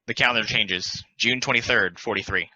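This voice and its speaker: A man narrating stage directions at a play table read in a plain, deliberate, announcing manner. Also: on the low side, bright, forceful tone, no room sound, lo-fi sound.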